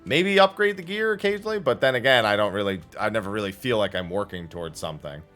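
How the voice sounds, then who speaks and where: Man with low, lively-pitched streamer chat. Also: fast talker, soft background music. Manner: blunt, opinionated, casual swearing. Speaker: livestreamer. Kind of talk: casual gaming stream chat.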